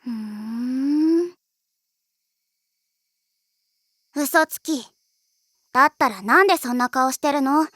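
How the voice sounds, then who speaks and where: Lively, high-pitched anime schoolgirl. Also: a little slow, slightly rough, no room sound, studio quality, full character voice. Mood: quietly accusing. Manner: casual, girlish speech with cutesy nicknames. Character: cute, candid teenage schoolgirl bandmate, caring and blunt. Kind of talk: anime voice acting.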